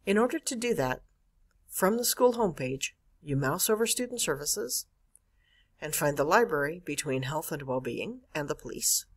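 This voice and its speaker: A woman's voice: deep voice, expressive, moderate pace, husky. A woman narrating an instructional screencast as a librarian, explaining step by step with emphasis on key words. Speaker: college librarian giving a catalog tutorial. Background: screen-recorded voice-over.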